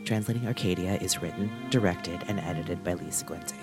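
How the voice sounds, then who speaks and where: Deep voiced guy reading out credits like an announcer, loud music playing under him. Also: fairly quick. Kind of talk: scripted credits narration. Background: loud instrumental music.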